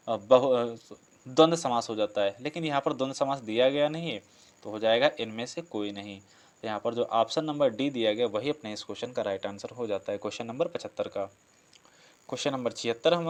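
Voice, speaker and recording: A man from teaching like a coaching instructor, posing questions and explaining answers with frequent repetition. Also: medium pitch. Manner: explanatory, repeats and rephrases answers, asks himself questions. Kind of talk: Hindi grammar lesson, working through practice questions.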